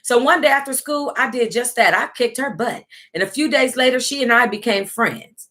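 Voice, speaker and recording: An American woman hosting an online book club, reading aloud casually in an animated, salty-tongued way and breaking off to react. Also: a little high, moderate pace. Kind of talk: casual livestream read-aloud with running reactions.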